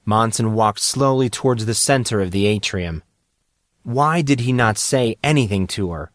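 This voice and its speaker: Male audiobook narrator, low voice, dry close sound, dramatic scripted storytelling. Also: a bit bright, no room sound.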